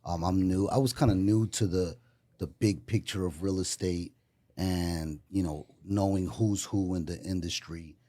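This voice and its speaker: A man's voice: low voice, steady tone, dark tone. A man hosting a podcast, introducing his guest in a loose, rambling way. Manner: loose, rambling, fills pauses with "you know" and "um". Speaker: podcast host. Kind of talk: casual chat.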